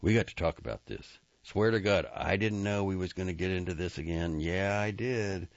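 A man reading aloud and voicing a restless, boastful young cowboy who talks in a rough, profane, rambling way. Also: sits low, normal speed, no room sound, pitched above the performer's own voice. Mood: excited. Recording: dry, slightly muffled recording.

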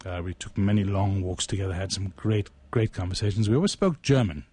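A man hosting a radio show, talking casually and somewhat haltingly, often repeating words as he goes. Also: sits low, warm tone, husky. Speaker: radio show host and writer-performer. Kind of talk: casual on-air conversation.

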